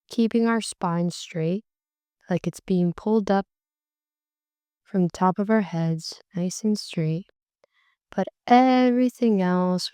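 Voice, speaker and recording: A woman leading a guided meditation, speaking slowly and softly in long, soothing phrases. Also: fairly low pitch, smooth, no echo. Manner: slow, soft and soothing, drawn-out phrases.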